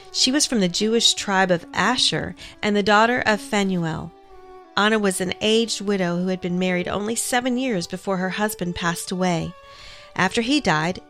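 A woman's voice: mid-range voice, a bit bright. A woman co-hosting a faith program, talking and reading in a devotional style. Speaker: program co-host. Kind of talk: devotional talk and scripture reading.

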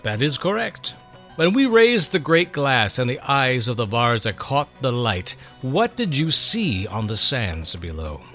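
A man playing a kindly, patient old seer, measured and fatherly, in a dramatic storybook reading. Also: mid-range voice, sing-song, bright, forceful tone, soft background music. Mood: gently instructive.

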